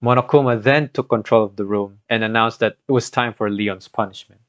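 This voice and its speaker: A man's voice: fairly low pitch, steady tone, fairly quick, a bit bright, no room sound. A man narrating a scripted video retelling of a game story, adding his own commentary as a gaming content creator. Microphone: cheap home microphone, voice-over. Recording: dull lo-fi scuffed home microphone.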